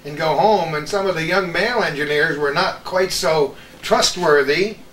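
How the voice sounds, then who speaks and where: A man's voice: a little high, moderate pace, fairly bright tone. A middle-aged or older man hosting a casual, chatty reminiscing interview, with a chuckling manner. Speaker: host. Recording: roomy, distant mic, faint noise.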